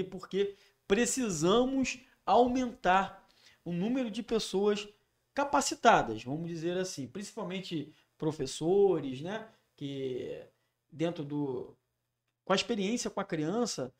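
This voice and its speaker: A man's voice: sits high, animated, unhurried. A man hosting a casual interview, talking in a loose conversational way with many fillers. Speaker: host.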